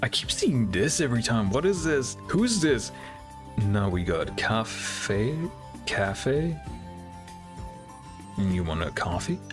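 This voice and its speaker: Guy with a lowish voice doing casual reaction commentary, thinking out loud, faint music behind. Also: sing-song, slow, pauses often. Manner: hesitant, thinking aloud in fragments. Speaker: reaction video host. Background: game trailer music.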